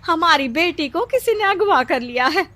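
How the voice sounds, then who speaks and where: A woman's voice: very high voice, lively intonation, moderate pace, pushed and bright, full character voice. A woman voicing a plaintive, exclamatory village woman in a Hindi cartoon moral story. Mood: distressed. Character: distraught, indignant village women, mother and daughter.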